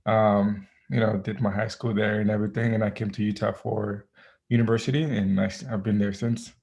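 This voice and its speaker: A man, a guest DJ chatting casually in an interview, relaxed and full of ums and restarts. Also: on the low side, fairly quick, gravelly. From Haiti. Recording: dull lo-fi audio.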